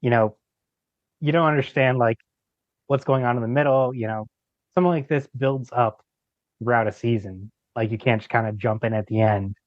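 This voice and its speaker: A man with a mid-pitched voice chats casually as a podcast guest. His talk is loose and informal, and the recording is dry and a little dull. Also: moderate pace, no echo. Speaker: podcast guest, creator of an audio drama. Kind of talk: casual chat.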